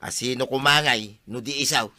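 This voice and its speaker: A man's voice: mid-range voice, a little fast, sharp tone, no echo. A man playing a helpful but easily aggrieved village man in a comic radio drama, speaking emphatically. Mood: irritated. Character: plain-spoken village man, helpful but quick to complain.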